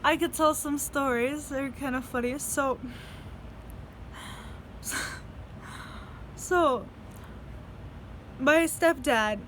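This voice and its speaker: A woman's voice: high-pitched, very slow, pauses often, fairly bright tone, a little hiss or hum, rough recording. A young woman telling stories in a casual video diary, rambling, swearing freely and laughing between sentences. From United States. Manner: rambling, profane, laughs often. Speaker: vlogger.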